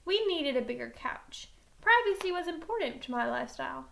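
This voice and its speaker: A woman reading a children's novel aloud in an animated, dramatic storytelling manner. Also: high-pitched, fairly quick, creaky. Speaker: teacher reading a book aloud.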